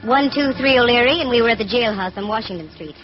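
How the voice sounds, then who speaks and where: A woman's voice: fairly high pitch, fast, lightly acted. Actress playing a wisecracking lady detective, dull vintage sound. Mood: wry narrating. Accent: American. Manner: breezy, slangy, wry. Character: sharp-witted wisecracking female private detective. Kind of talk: 1940s radio detective acting and narration. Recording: dull vintage radio recording with music.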